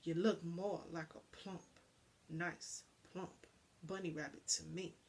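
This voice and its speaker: Devilish storybook fox, male, played by a woman, hushed, slow, sly and insinuating. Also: on the low side, flat delivery, slight background noise. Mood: sly, hungry. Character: sly, cowardly, hungry male fox villain.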